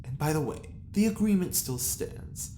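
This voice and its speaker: A man's voice: fairly high pitch, expressive, moderate pace, warm tone, a little hiss or hum, natural voice. A man playing a pompous, sly prisoner with refined tastes in an audio drama, theatrical and arch. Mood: sly, playful. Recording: roomy echo.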